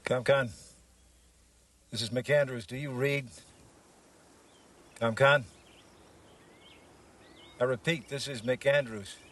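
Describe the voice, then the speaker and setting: An actor playing a weary, dutiful middle-aged military pilot with clipped, resigned speech in a science fiction TV drama. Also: male voice, mid-range voice, steady tone, slow pace, pauses often, fairly bright tone, a little hiss or hum. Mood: measured, wary.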